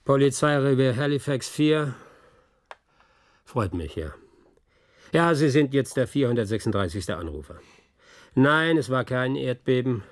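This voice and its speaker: An actor playing a weary, sarcastic police officer in a German radio play, grumbling with a gravelly voice. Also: male voice, mid-range voice, lively intonation, unhurried, long pauses, dry recording, lightly acted. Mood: brusque, bored. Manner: sardonic, grumbling.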